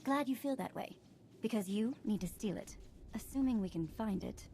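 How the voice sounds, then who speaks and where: A woman's voice: on the high side, lively intonation, moderate pace, dark tone, slight background noise. Blunt, impatient woman official. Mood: pressing. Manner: brusque, dry. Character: blunt, impatient female rescued hostage and planetary official.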